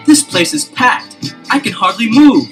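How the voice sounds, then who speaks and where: An actor playing an eager young amateur detective in a 1970s record-album drama, quick to get suspicious. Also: male voice, very high-pitched, very animated, music in the background, exaggerated voice.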